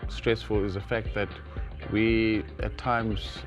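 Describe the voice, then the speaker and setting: Male South African expert guest, lowish pitch, formal and stop-start delivery, music underneath. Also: flat delivery, slow pace. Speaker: guest, air traffic controller. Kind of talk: explanatory interview answers. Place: TV studio.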